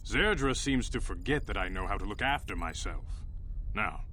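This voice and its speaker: A man playing a confident, composed gang leader in a video game, measured, reassuring and authoritative. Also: lowish voice, lively intonation, bright, forceful tone, a little hiss or hum. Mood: apologetic, measured.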